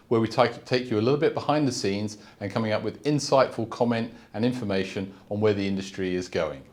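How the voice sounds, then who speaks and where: Chatty male business interview host. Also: mid-range voice. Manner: chatty, rambling questions with restarts, frequent mm-hmms. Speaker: interview host, company CEO.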